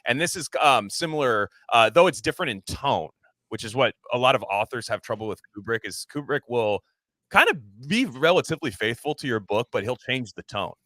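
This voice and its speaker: A man co-hosting a podcast, talking casually about film in a halting, rambling way and often slipping into imagined dialogue. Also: mid-range voice, lively intonation, a bit bright, dry recording. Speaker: podcast co-host.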